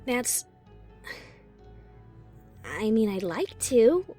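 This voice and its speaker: A man's voice: high voice, sing-song, extremely slow, warm tone, faint music behind, voice pushed up, exaggerated voice. A man voicing a scheming young mare. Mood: breezy. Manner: breezy, fake-casual. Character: clever, scheming young female unicorn.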